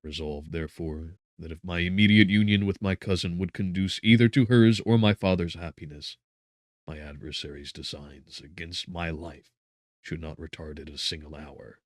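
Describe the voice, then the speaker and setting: A man narrating a gothic novel as an audiobook, solemn and theatrical, with measured phrasing and emphatic swells. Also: low-pitched, steady tone, dry recording, clean studio sound.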